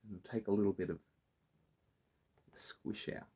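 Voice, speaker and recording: A man's voice: on the low side, fairly even intonation, a little slow, warm tone. A playful Australian man chatting to viewers as he builds a theatre prop. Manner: playful, chatty, talks to viewers while working. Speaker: prop maker. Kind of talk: casual workshop tutorial.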